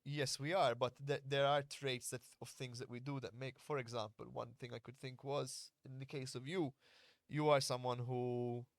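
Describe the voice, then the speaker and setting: A man's voice: medium pitch, steady tone, moderate pace, dry recording. Blunt man in a dry room. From Malta. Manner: blunt, earnest, explains at length, swears freely. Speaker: podcast host and fight coach. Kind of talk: casual podcast conversation.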